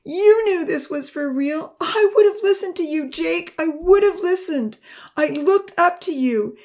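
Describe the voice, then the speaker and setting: A woman's voice: very high-pitched, animated, unhurried, a little mellow, pitched above the performer's own voice, exaggerated voice. A woman voicing a distraught young woman in a dramatised audiobook, sobbing bitter, anguished accusations. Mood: bitter despair.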